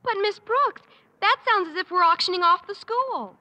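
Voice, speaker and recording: A woman's voice: very high-pitched, expressive, moderate pace. An earnest teenage girl. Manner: earnest, sweet. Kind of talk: 1950s radio sitcom acting. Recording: dull, hissy old radio broadcast.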